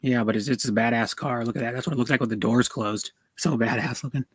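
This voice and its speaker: A man's voice: mid-range voice, steady tone, fast, husky. A man chatting casually about cars on a livestream in a rambling, stammering way, often repeating words. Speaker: streamer. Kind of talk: casual car chat on a livestream.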